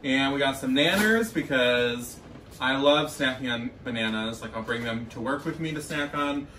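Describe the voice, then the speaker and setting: A man's voice: mid-range voice, moderate pace. A young man co-hosting a casual vlog, chatting effusively to the camera. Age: young adult. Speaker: vlog co-host. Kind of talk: casual grocery haul chat to camera. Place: small kitchen at home, indoors. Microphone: camera a few feet away. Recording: roomy, distant mic, faint noise.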